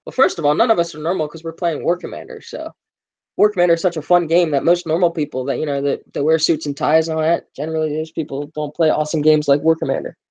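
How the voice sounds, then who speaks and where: A man's voice: fairly high pitch, fast, a little mellow. A man hosting a casual gaming live stream, rambling with plenty of fillers and joking with his viewers. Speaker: gaming livestream host.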